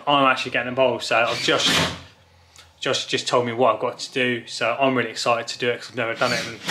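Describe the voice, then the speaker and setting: A man's voice: mid-range voice, noisy background. A young man chatting cheerfully in a casual vlog, showing off rooms of a house being renovated. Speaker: vlogger, husband. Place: house under renovation, indoors.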